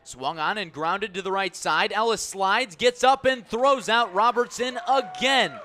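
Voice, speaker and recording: A man calling baseball play-by-play on the radio, measured between pitches and swelling into excited calls on plays. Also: a faint crowd, slight background noise. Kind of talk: live radio sports commentary.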